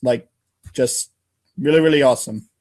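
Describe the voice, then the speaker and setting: A man's voice: mid-range voice, normal speed, darkish tone, close to an everyday voice. Man playing aloof, slangy teenage boy. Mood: feigned cool. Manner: casual, rambling, affectedly cool.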